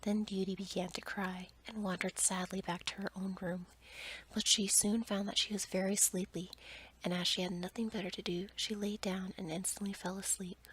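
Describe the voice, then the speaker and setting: A woman's voice: medium pitch, little pitch movement, normal speed, poor audio. Whispering woman reading a bedtime story. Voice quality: soft, breathy whisper.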